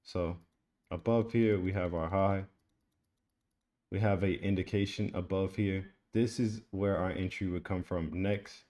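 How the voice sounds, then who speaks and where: A man's voice: deep voice, fairly even intonation, a little slow, darkish tone. A man giving a casual trading lesson, talking through a chart on screen in a loose, repetitive way. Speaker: trading educator. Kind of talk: screen-share trading tutorial.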